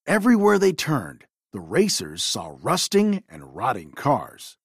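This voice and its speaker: A woman reading a children's storybook aloud in an expressive, theatrical way. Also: extremely low pitch, a little slow, vocal fry, slightly rough, no echo, studio quality. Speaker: storybook reader.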